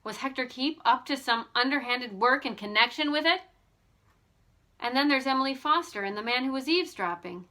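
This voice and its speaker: A woman reading a novel aloud as an amateur audiobook narrator, in an expressive storytelling style. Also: high voice, brisk.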